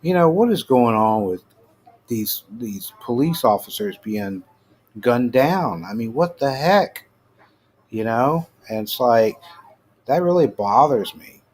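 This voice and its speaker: A middle-aged American man giving casual, halting news commentary as a host, informal and prone to trailing off. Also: medium pitch, expressive, a little mellow. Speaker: host of a personal rant show. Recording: clearly noisy amateur home recording.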